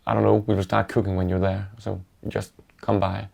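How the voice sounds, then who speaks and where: Man, Danish accent, native Danish speaker, interviewee, flat even delivery, halting pauses. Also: low-pitched, vocal fry. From Denmark. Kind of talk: casual reflective storytelling.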